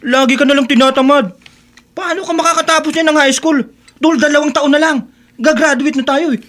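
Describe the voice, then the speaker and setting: Very high-voiced teenage schoolboy. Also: male voice, fairly even intonation, a little fast, fairly bright tone. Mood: nagging. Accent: Filipino. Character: responsible, nagging teenage schoolboy friend. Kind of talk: Filipino radio drama acting.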